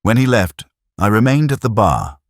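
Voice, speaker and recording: A man playing a weary, melancholy middle-aged detective, narrating his story in a measured, reflective, literary way. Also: on the low side, sing-song, dry recording, studio quality. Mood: wistful, resigned. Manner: measured, brooding, literary delivery. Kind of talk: scripted first-person detective story narration.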